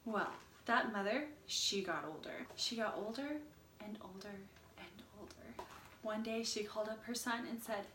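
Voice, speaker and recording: A woman reading a children's picture book aloud with expressive storytelling. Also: mid-range voice, normal speed. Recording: echoey room, distant mic, faint noise.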